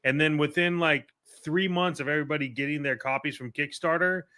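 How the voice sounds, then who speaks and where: A man chats as a podcast co-host with a forceful, bright tone pitched a little above average. His talk is casual and blunt, with informal phrasing and easy swearing. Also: brisk.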